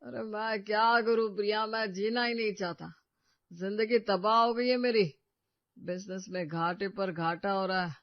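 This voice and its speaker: A man's voice: high-pitched, fairly even intonation, a little slow. A man voicing a troubled young husband in a cartoon story, apologetic and self-pitying. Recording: dry dull lo-fi studio.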